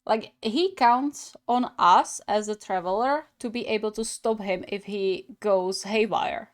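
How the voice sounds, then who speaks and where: A woman's voice: mid-range voice, measured pace. A woman giving casual reaction commentary as host of a gaming video, thinking aloud hesitantly.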